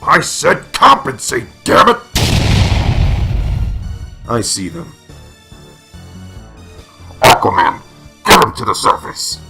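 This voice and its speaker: A commanding superhero vigilante, performed by a man, speaking in terse, forceful orders. It is a dramatic comic-book reading with music behind. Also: mid-range voice, wildly swooping pitch, takes it slowly, creaky, long pauses, heavily acted. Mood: urgent, commanding.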